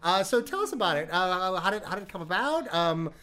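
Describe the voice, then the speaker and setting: A woman's voice: on the low side, big pitch swings, fairly quick, a bit bright. A woman co-hosting a podcast, asking questions hesitantly with lots of fillers. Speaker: podcast co-host. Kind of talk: casual podcast interview.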